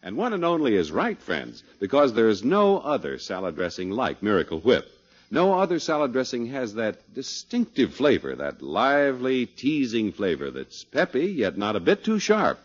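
A man's voice: mid-range voice, wildly swooping pitch, moderate pace, breathy edge. An American man announcing for 1950s radio in a polished, genial, salesmanlike style. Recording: dull vintage radio broadcast.

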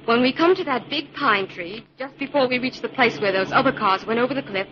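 A woman's voice: fairly high pitch, fairly quick, a crowd in the background, natural voice. An actress playing a plucky but anxious young female sleuth's sidekick in a 1940s style radio drama. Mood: plucky, concerned. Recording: muffled, hissy old radio recording.

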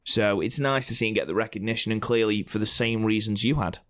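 A man with a very low, hoarse and croaky voice talks in casual podcast banter. He is blunt and opinionated and swears freely. The sound is dry and close, with no room around it. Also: fast talker, a bit bright, dry recording. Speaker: podcast co-host presenting award results.

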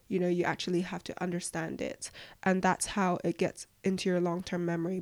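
Croaky young woman, fairly low and close. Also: a little mellow, dry recording. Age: young adult. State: croaky voice from flu. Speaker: podcast host giving study advice. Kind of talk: casual Q&A advice monologue.

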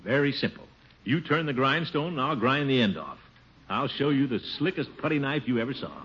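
An actor playing a pompous, boastful middle-aged American uncle in a 1950s radio comedy, given to chuckling. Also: male voice, medium pitch, animated, brisk, natural voice. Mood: self-satisfied. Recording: dull, noisy old radio recording.

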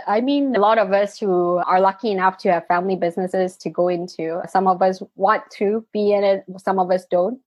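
Husky woman with Malaysian-British accent. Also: on the low side, no echo. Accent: Malaysian and British mix. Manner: earnest, storytelling, frequent "right?" tags. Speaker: interview guest. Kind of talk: reflective interview answers.

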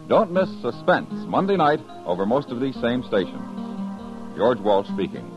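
An American man announcing in scripted 1950s radio style. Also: mid-range voice. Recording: dull 1950s radio recording, music behind.

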